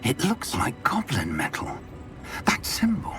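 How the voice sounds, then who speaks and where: An actor playing a wise, kindly older wizard professor with a British accent, articulate and dryly good-humoured, in a video game. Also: male voice, mid-range voice, huge pitch swings, unhurried, music in the background. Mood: concerned. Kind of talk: video game cutscene acting.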